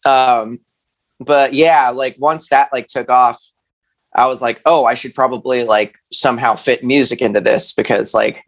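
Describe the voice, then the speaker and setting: A man speaking as an interview guest, chatting casually in a halting, self-deprecating, conversational way. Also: mid-range voice, fairly even intonation. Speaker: musician, podcast guest. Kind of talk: casual chat.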